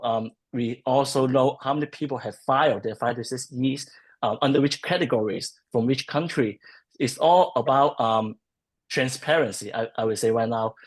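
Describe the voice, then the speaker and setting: Husky-voiced man, halting webinar talk. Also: mid-range voice. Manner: hesitant, many ums and restarts. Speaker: policy research guest. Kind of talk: webinar discussion.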